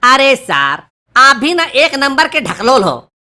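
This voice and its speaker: A woman's voice: sits high, very animated, takes it slowly, background noise, exaggerated voice. A woman voicing a brash, mocking schoolboy prankster in a comedy cartoon. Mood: brash, smug. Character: cheeky schoolboy prankster who teases his teacher. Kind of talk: Hindi comedy cartoon dialogue.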